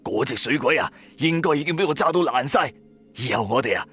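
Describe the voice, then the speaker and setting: Evasive, boastful husband for horror radio drama, voiced by a male storyteller, exclamatory and mid-pitched. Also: animated, fairly quick, fairly bright tone, husky, soft background music. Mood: relieved, smug. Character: shifty, boastful husband, a newly rich builder hiding a secret.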